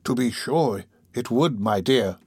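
A man's voice: medium pitch, lively intonation, a little slow, a little mellow, breathy edge, no echo, studio quality. A man voicing a sly, placating old criminal in a storybook reading, soothing and hesitant.

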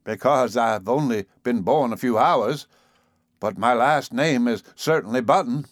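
A man's voice: medium pitch, a little slow, no room sound, clean studio sound, natural voice. A man voicing a querulous old man of about seventy with a cracked, weak, whining voice in a storybook reading. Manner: querulous, complaining. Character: querulous ancient old man, newly born.

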